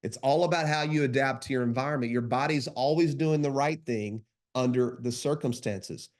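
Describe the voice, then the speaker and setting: A man's voice: mid-range voice, normal speed. A man with a congested, stuffy voice giving an emphatic podcast monologue as co-host, insistent and driving his points home with repetition. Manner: emphatic, insistent, repeats phrases for stress. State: congested, runny nose; sleep deprived.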